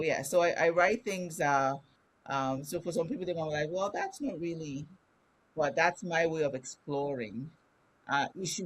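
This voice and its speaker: Middle-aged woman, Nigerian accent, guest author, candid, explanatory, conversational interview. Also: deep voice. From Ibadan, Nigeria.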